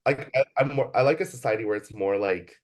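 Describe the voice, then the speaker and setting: A man's voice: mid-range voice, fast. A young man from the Bay Area answering interview questions casually, hesitant, with many fillers and restarts. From Bay Area, California, USA. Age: young adult. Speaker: student interviewee.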